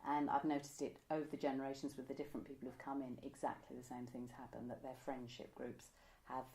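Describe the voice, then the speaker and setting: Low-pitched older Englishwoman reminiscing. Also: flat delivery, quick pace, dark tone. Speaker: interviewee, pantomime costume maker. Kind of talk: conversational reminiscence in an interview. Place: indoors, room by a window.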